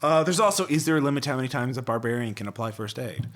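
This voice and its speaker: A man's voice: mid-range voice, animated, racing, fairly bright tone. A man hosting a podcast, chatting casually and reading passages aloud with a wry, joking manner. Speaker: podcast host.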